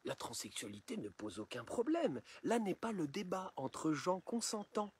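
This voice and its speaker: A man reciting satirical rhymed verse in a theatrical, measured, declamatory storytelling manner. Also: sits high, big pitch swings, breathy edge. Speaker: poem reciter.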